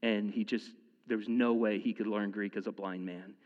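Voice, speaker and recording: A man's voice: on the low side, steady tone, normal speed, in a large hall, narrow, tinny audio. A man in his thirties preaching a sermon in a casual, conversational way, often restarting his sentences and using fillers. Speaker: pastor.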